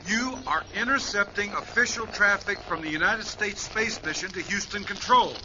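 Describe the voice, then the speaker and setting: An actor playing a stern astronaut major who talks in clipped radio protocol. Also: male voice, high-pitched, normal speed, highs cut off, background noise, natural voice. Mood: commanding. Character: stern male astronaut major commanding a spacecraft. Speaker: astronaut commander. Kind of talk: radio drama acting.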